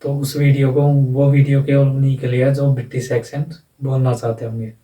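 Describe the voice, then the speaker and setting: A man's voice: mid-range voice, level tone, brisk, warm tone, distant mic, poor audio. A man teaching a lesson in a casual explanatory voice-over. He explains plainly, repeats himself and asks rhetorical questions. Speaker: English teacher. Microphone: voice-over, face not shown.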